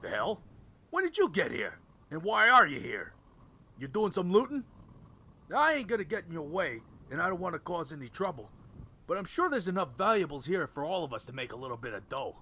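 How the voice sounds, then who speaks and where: A man playing a bitter, desperate young man on the run in an audio drama, talking roughly and swearing. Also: fairly high pitch, big pitch swings, fairly quick, sharp tone, full character voice. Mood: defiant, wary. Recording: music behind the voice.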